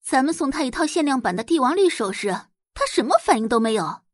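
A spiteful, pampered rich wife, acted by a woman in an audio drama. She whines and sneers in a high, very animated voice with a husky edge, full of exclamation and scorn. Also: fast, no room sound, studio quality. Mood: indignant, whiny. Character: petulant, spiteful wealthy wife, scornful gossip.

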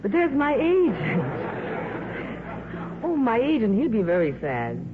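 A glamorous, world-weary female film star speaks in a 1950s radio drama. Her delivery is wry and theatrical, with lively rises and falls. The old recording is thin and tinny, with clear noise over it. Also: a little high, unhurried. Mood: wry, resigned.

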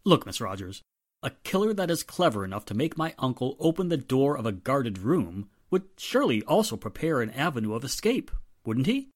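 Woman acting a suave gentleman, swooping intonation. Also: very deep voice, sing-song, brisk, full character voice. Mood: dismissive, reassuring. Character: suave, dismissive well-to-do gentleman, nephew of victim. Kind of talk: radio drama acting.

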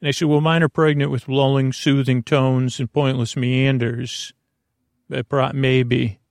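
A man with a creaky voice hosting a sleep podcast, giving a soothing bedtime monologue that meanders and halts with pauses and repeated phrases. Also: mid-range voice, flat delivery, dry recording, studio quality. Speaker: podcast host.

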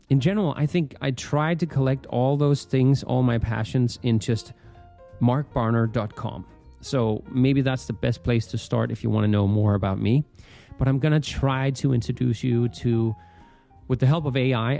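A man's voice: mid-range voice, creaky, mellow. A man with a Danish accent that leans American, casually hosting a solo podcast in an informal, playful, rambling way. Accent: Danish with American leanings. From Denmark. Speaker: podcast host.

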